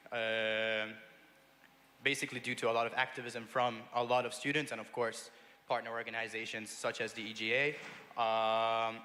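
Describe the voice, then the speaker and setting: A man's voice: medium pitch, pushed and bright. A young man from Hungary, a student representative speaking earnestly on a panel, with many filler sounds. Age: young adult. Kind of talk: panel talk. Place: large conference hall. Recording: reverberant conference hall.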